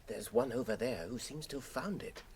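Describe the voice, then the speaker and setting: A man acting an earnest, gentle young hobbit with a British accent and a hesitant, polite manner in a radio drama. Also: medium pitch, huge pitch swings, moderate pace, vocal fry, breathy edge, full character voice. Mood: warm, eager.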